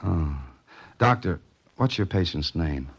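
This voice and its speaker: An actor playing a wry, wisecracking amateur sleuth in a clipped, sardonic 1940s radio drama style. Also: male voice, sits low, animated, slow, husky, exaggerated voice. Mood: polite, probing. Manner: sardonic, hard-boiled, clipped. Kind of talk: 1940s radio drama acting, first-person narration. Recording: old radio broadcast with music.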